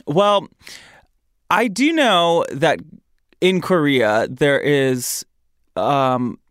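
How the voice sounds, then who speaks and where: A man's voice: fairly high pitch, expressive, drawn-out words, fairly bright tone, dry recording, studio quality. Campy, chatty male podcast host. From Michigan. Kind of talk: casual podcast chat.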